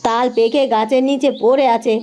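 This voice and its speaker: Dutiful young farmer's wife, played by a woman: high, gentle, apologetic cartoon delivery. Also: fairly even intonation, moderate pace, slight background noise, natural voice. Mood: puzzled. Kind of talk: cartoon fairy-tale voice acting.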